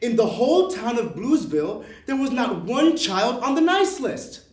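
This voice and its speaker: A woman reading a children's storybook aloud in an expressive style. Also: fairly high pitch, moderate pace. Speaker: storybook reader. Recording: echoey room, clear background noise.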